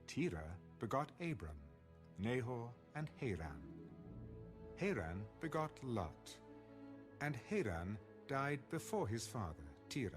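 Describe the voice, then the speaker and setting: A man narrating scripture from a script in a measured, formal way. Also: mid-range voice. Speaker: audio Bible narrator. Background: soft background music.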